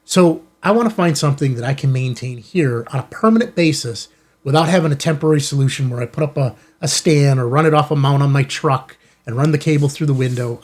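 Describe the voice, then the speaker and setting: An American man hosting a ham radio channel, chatting informally and casually to viewers as he shows off gear. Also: medium pitch. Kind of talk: casual product unboxing chat to viewers. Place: indoor studio in an office building.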